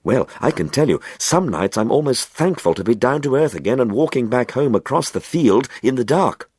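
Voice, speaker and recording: A man's voice: medium pitch, expressive, normal speed, darkish tone, breathy edge, no echo, pitched above the performer's own voice. A man reading aloud as a rapturous, earnest, proud older amateur stargazer in an audiobook story, lingering emphatically on his words. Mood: awed. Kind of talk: audiobook character dialogue.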